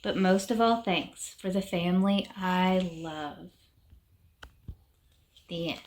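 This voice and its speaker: A woman reading a rhyming children's picture book aloud in short, measured phrases with pauses. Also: lowish voice, darkish tone. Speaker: reader of a children's story. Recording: background noise with clicks.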